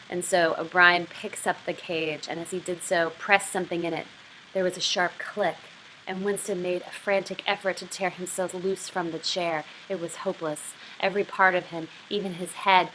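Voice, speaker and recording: Bright-voiced woman teaching literary craft. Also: fairly low pitch, slight background noise, low-quality recording. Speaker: writing teacher. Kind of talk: literary craft lesson with read-aloud passages.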